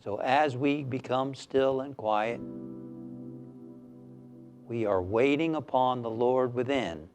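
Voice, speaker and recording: Calm guy doing guided meditation, really slow w long pauses, soft music behind him. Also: mid-range voice. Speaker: minister leading a guided meditation.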